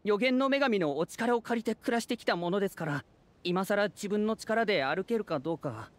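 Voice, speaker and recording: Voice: mid-range voice, animated, moderate pace, slight background noise, close to an everyday voice. An actor voicing a humble, anxious citizen of a fairytale town in a Japanese video game, speaking formally and earnestly. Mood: hesitant, worried. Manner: formal, deferential, earnest speech.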